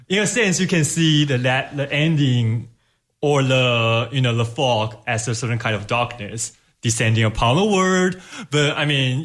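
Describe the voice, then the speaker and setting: Tentative man with mid-pitched voice. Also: fairly bright tone. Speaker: panelist. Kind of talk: casual film discussion.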